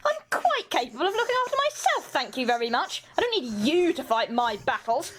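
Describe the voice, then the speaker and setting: A woman's voice: high voice, sing-song, brisk, fairly bright tone. A woman playing a proudly independent, huffy train passenger, curt, in an amateur radio play.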